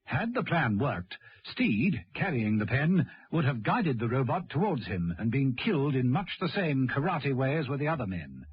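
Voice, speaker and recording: A man's voice: medium pitch, sing-song, normal speed, breathy edge. A man playing the wry, dramatic storyteller of a radio serial, delivering scripted narration. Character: male arch storyteller, wryly addressing the hero. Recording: dull radio recording.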